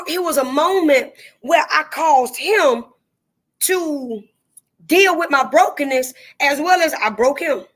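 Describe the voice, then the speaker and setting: A woman's voice: high-pitched, fairly bright tone. A woman speaking candidly and emphatically, with a preachy, repetitive cadence. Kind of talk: candid testimony-style chat.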